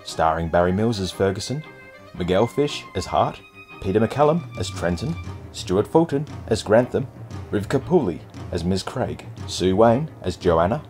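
Male credits announcer: low, steady, over faint music. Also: moderate pace. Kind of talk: scripted credits reading.

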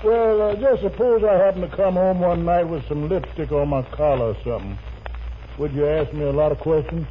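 A man playing a boastful, slippery, smooth-talking boyfriend in a 1950s radio comedy, cocky and wheedling. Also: a little high, brisk, natural voice. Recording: thin, narrow, hissy 1950 radio recording.

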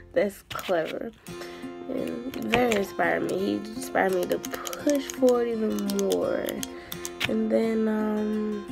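Chatty young woman vlogging, gravelly. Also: medium pitch. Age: young adult. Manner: chatty, animated, slangy. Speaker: theater student vlogger. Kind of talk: casual vlog chat. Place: hotel room and festival venues. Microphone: phone held at arm's length.

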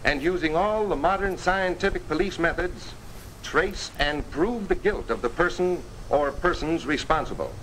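A man reading scripted 1950s training film narration in a formal, earnest, measured storytelling manner. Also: on the high side, a bit bright. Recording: noisy 1950s film soundtrack.

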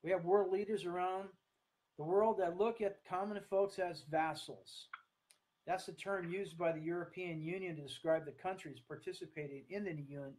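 A man in his sixties with an American accent, giving an earnest online talk to camera in a halting, deliberate way. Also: a little high, darkish tone, rough recording. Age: 60s. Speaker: speaker, coach. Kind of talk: online talk to camera, partly read from notes. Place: small room at home, indoors. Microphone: webcam or laptop at desk distance.

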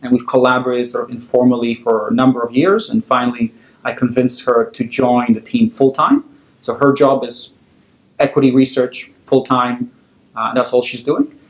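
A man in his thirties, originally from Poland, answering interview questions as a fund founder in a measured, organized way. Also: medium pitch, fairly even intonation. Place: office with wooden chairs, indoors. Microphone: lapel mic. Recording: roomy office echo.

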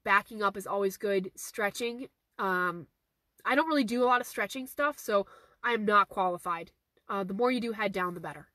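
Hoarse woman in a parked car. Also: medium pitch, moderate pace, pushed and bright, dry recording. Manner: earnest, repeats key points for emphasis. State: hoarse, losing her voice. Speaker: horse trainer hosting a live Q&A. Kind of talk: casual live-stream Q&A, reading viewer questions. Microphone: phone camera at arm's length.

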